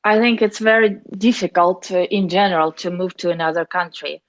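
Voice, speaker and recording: A woman's voice: fairly low pitch, a little fast, dry recording, rough recording. A young woman, answering interview questions as a charity communications officer, earnest and careful, often restarting her phrases. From Ukraine.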